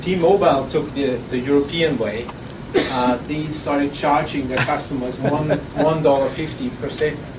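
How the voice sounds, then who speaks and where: A man with an Austrian accent giving a live startup pitch to an audience, talking conversationally and often restarting his sentences. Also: steady tone, moderate pace, few pauses. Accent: Austrian German. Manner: conversational with frequent restarts and repeated words. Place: conference room at an innovation event. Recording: roomy, distant, noisy audience recording.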